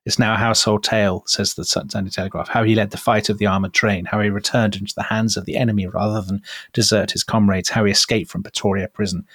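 A man's voice: on the low side, little pitch movement, fast, a bit bright, breathy edge, dry recording. A man co-hosting a podcast, chatting in a teasing, mock-indignant way. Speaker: podcast co-host. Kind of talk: bantering podcast chat.

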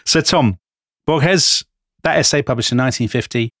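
A man co-hosting a conversational history podcast, talking in a lively, jokey way with quick asides. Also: mid-range voice, no echo, clean studio sound. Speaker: podcast co-host.

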